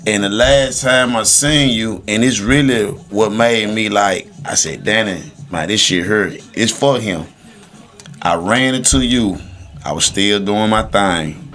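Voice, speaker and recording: A man's voice: fairly low pitch, unhurried, fairly bright tone, soft background music. A man chatting casually on a podcast, reminiscing in an emphatic, slangy storytelling way. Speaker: guest co-host.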